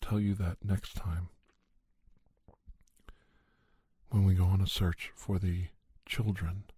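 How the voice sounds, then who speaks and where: Man playing a low, husky, solemn doctor storyteller. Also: flat delivery, moderate pace, mellow, no room sound. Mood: hushed, regretful. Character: doctor recounting paranormal creature hunts, grave and gentle. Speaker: storyteller, podcast narrator. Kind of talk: ASMR scripted storytelling.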